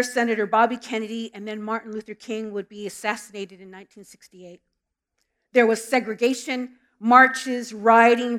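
A middle-aged woman from Southern California preaching a sermon and praying in a church, earnest and storytelling, with small restarts. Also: a little high. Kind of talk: sermon with prayer. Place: church sanctuary.

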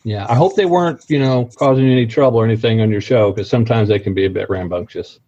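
A man's voice: mid-range voice, quick pace, mellow, slightly rough. Easygoing man with a slight Missouri accent. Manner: friendly, chatty, easygoing. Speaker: actor and interview guest. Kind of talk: casual interview chat. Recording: dull lo-fi sound.

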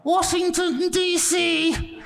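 A man voicing a small, deadpan alien visitor in a high, squeaky put-on voice, giving slow, flat one-line answers. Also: creaky, soft background music, heavily acted. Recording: echoey hall.

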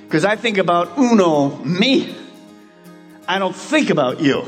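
A man's voice: high-pitched, big-room sound. A male pastor preaching a radio sermon, posing rhetorical questions and answering them himself.

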